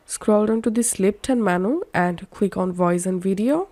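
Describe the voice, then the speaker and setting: A woman narrating a how-to software tutorial as its host, instructional with slightly halting phrasing. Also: medium pitch. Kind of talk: step-by-step software tutorial voice-over.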